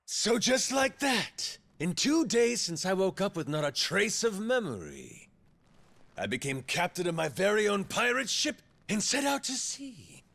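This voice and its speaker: An actor playing a brash, cocky middle-aged gangster pirate captain with a theatrical drawl in a video game dub. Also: male voice, high voice, wildly swooping pitch, measured pace, gravelly, a bit bright, full character voice. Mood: boastful storytelling. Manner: drawling, theatrical, foul-mouthed.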